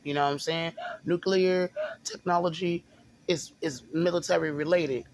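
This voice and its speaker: Guy, bit high, mildly sore throat; halting, repetitive delivery, lots of fillers. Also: fairly even intonation. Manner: halting, repeats words, many fillers. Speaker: video blogger. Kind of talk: casual monologue to camera.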